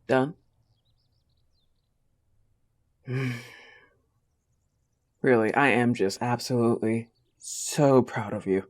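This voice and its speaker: A man voicing a possessive but tender young goth wolf girlfriend, soft-spoken, in an intimate audio roleplay. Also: medium pitch, slow, long pauses, natural voice. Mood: proud, tender.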